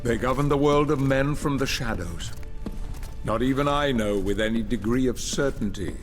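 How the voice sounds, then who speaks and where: An actor playing an aged, cunning sorcerer lord in a video game, speaking in a formal, measured, ominous way. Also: male voice, mid-range voice, close to an everyday voice. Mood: grave, scheming. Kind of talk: dramatic video game cutscene acting. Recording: reverberant hall, music underneath.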